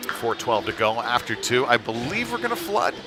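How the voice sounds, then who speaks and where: A man's voice: medium pitch, sing-song, normal speed, music in the background. A man doing casual, conversational play-by-play commentary on a live hockey game, with slightly hesitant phrasing. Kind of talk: live amateur sports commentary. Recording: noisy live arena sound.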